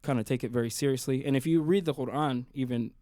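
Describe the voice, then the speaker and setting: A man's voice: mid-range voice, fast, no echo. Musician guest, a man in his thirties, first language Arabic, easygoing and thoughtful, recorded close in a dead studio. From Sudan. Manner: relaxed, thoughtful, hesitant phrasing with fillers. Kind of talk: casual radio interview. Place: radio studio. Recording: dry studio sound.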